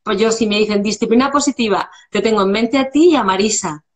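A woman's voice: mid-range voice, fast. A woman in her forties with a Spanish accent, hosting a live video-call interview from home, chatty with many restarts. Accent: Spanish from Spain. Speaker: live stream host. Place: home room, indoors. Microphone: video call webcam.

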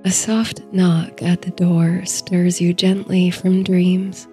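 A woman narrating a bedtime story and guided meditation in a soothing, hushed, softly breathy voice. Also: on the low side, level tone, soft background music. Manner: soothing, hushed, unhurried delivery. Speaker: host and narrator of a sleep story series.

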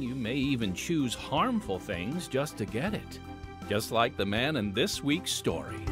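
A man hosting a podcast, delivering a scripted introduction. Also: mid-range voice. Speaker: podcast host. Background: music.

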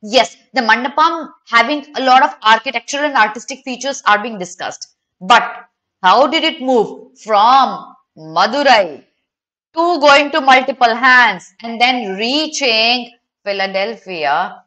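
A woman's voice: a little high, animated, a little slow, sharp tone. A young woman with an Indian accent teaching an online exam lesson, explaining options to students directly with emphatic questions and switching between two languages. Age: young adult. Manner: mixes Hindi and English, addressing students directly.

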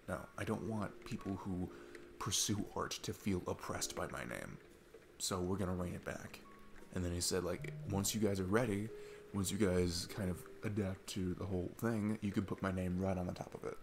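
Man playing a low, gravelly, chatty sweet dad. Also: noisy background. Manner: rambling, enthusiastic, halting with many restarts. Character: sweet, chatty single dad on a first date. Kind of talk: ASMR audio roleplay, casual rambling talk.

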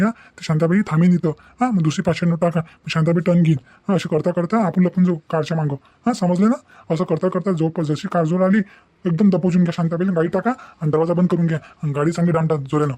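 A man's voice: fairly high pitch, warm tone, no echo, close to an everyday voice. A man voicing a scheming, bumbling village kidnapper in a comedy cartoon, bossy and repetitive, with a Varhadi Marathi accent.

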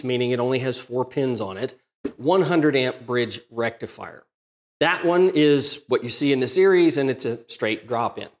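A middle-aged man hosting a workshop channel, explaining a welder modification to the camera in a plain, methodical way, recorded in his home workshop. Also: mid-range voice. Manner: methodical, plain-spoken, technical. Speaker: DIY welding channel host. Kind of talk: explanatory tutorial talk to camera.

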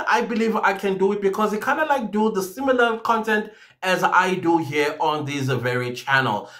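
Man, 40s, high voice, animated, direct to camera, small home office. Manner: animated, gesturing a lot, speaks to viewers directly. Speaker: YouTube creator. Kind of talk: casual talk to camera.

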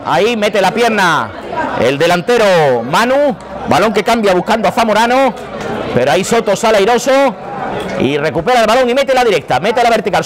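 A man giving live play-by-play football commentary from a stadium stand, rattling off player names as the action unfolds. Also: high voice. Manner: rapid running commentary naming players.

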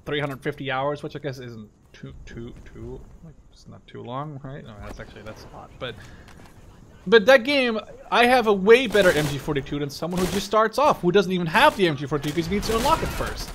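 Young adult male game commentator, slightly high-pitched, opinionated and sweary, casual delivery with clear game gunfire behind. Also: expressive, fairly quick. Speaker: gaming video creator giving live commentary. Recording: loud machine-gun game noise behind voice. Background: gunfire and clicks from a war game.

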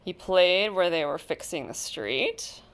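A woman reads a picture book aloud for children. Her voice sits a little low for a woman. She tells the story deliberately and expressively, leaning on the key words. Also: lively intonation, a little hiss or hum. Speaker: storybook reader.

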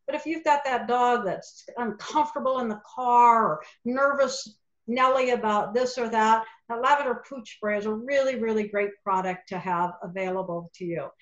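Chatty middle-aged woman on a video call. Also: normal speed. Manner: enthusiastic, chatty product pitch. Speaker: lavender farm owner. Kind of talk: presentation over slides. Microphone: webcam video call. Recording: echoey, muffled video call audio.

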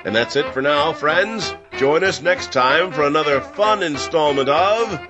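A man's voice: on the high side, measured pace, muffled recording, over music. A man co-hosting a podcast, speaking in casual, lightly scripted banter. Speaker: podcast co-host.

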